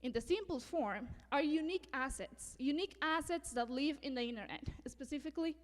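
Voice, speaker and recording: A woman's voice: high-pitched, animated, fairly quick, long reverb tail. A woman in her thirties from Venezuela giving a conference talk, earnest, laughing often and sometimes stumbling over a word. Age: 30s. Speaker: conference speaker.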